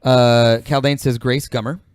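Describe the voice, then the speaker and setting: Man, average pitch, podcast host, casual group chat, easygoing delivery, dry close sound. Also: level tone, measured pace, clean tone, no echo. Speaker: podcast host reading out viewer suggestions.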